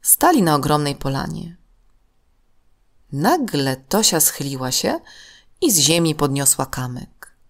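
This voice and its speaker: A woman narrating a scripted children's story in a measured, expressive manner. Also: low-pitched.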